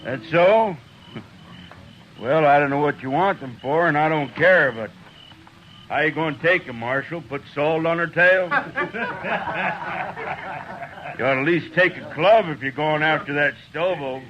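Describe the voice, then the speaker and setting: A man's voice: fairly high pitch, measured pace, pauses often, lightly acted. A man with an American accent playing a surly, mocking trail boss in a 1950s radio western. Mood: mocking, amused. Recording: dull, noisy vintage radio recording.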